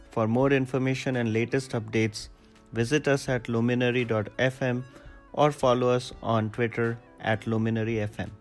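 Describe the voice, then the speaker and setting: A man co-hosting a podcast, asking questions and reading the outro in a measured way. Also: medium pitch. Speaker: podcast co-host. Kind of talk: interview questions and scripted outro.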